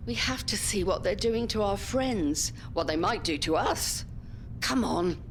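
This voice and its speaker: An actress playing a suspicious, excitable elderly care home resident in an audio drama. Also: female voice, medium pitch, a little slow, a little hiss or hum. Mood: horrified. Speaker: voice actor.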